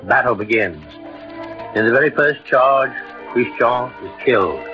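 A man narrating a scripted radio drama in a measured, dramatic mid-century storytelling style. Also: mid-range voice, animated, faint music behind. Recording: dull old radio recording.

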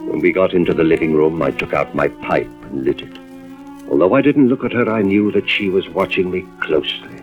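A man's voice: deep voice, close to an everyday voice. Man acting grave suspicious husband, muffled old radio drama. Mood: brooding, intense. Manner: deliberate, dramatic, measured delivery. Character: grave suspicious husband, fire investigator, narrating his own story. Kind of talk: 1940s-50s radio drama acting, first-person narration. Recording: muffled vintage radio recording with music behind.

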